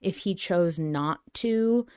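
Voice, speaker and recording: A woman's voice: on the low side, slow, a little mellow, clean tone, no room sound. A woman hosting a podcast in casual discussion, speaking in an earnest, reflective way. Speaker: podcast host.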